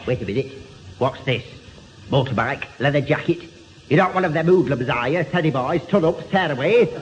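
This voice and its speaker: Fussy high-pitched shopkeeper played by a man, animated delivery. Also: normal speed, lightly acted. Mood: suspicious. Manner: repeats himself in synonyms, officious. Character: fussy, suspicious, pedantic male toy shopkeeper. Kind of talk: 1970 radio sitcom acting. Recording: noisy old radio recording, long reverb.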